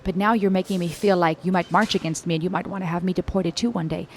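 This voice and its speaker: A young woman with an American English accent speaks to her viewers in a lowish voice, reflective and earnest as she reacts to a song. A faint room hum sits beneath her. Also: fairly even intonation, fast talker. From Germany and the US, Cuban-American family. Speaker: music reaction YouTuber. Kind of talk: reaction commentary.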